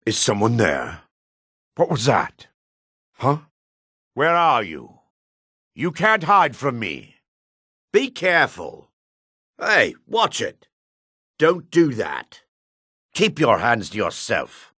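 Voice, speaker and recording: An actor playing a gruff, suspicious middle-aged dark elf man in a fantasy video game, raspy and curt with a wary, sneering edge. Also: medium pitch, huge pitch swings, takes it slowly, long pauses, no echo, clean studio sound, full character voice. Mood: wary warning. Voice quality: gravelly, raspy. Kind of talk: video game character barks.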